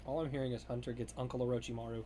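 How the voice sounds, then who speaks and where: A man's voice: mid-range voice, little pitch movement, very fast, mellow. A man playing a tabletop game, joking casually in a playful, wisecracking way.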